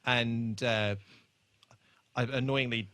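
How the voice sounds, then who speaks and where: A man's voice: medium pitch, steady tone, slow, a bit bright, dry recording. A British man co-hosting a podcast, chatting casually about television in a hesitant, joking and sweary way. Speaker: podcast co-host. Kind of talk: casual TV rewatch chat.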